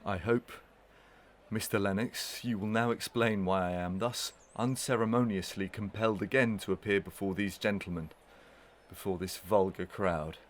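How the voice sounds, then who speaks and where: An actor playing a haughty, evasive gentleman villain in a Victorian melodrama, pompous, formal and disdainful. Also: lowish voice, steady tone, normal speed, a little hiss or hum, natural voice. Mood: scornful, defiant. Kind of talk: Victorian melodrama audio play acting.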